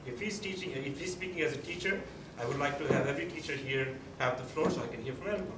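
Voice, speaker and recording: A man's voice: a little high, fast. Stumbling, insistent man from the audience. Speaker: audience member challenging a speaker. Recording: distant mic, echoey room, noisy.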